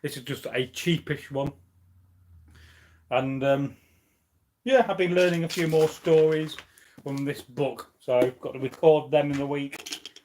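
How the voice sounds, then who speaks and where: A man's voice: a little high, steady tone, unhurried, long pauses, a little mellow. Chatty rambling man on a live stream. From United Kingdom. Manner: rambling, chatty, many fillers and restarts. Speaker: history channel host. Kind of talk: casual live stream chat. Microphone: camera on a tripod.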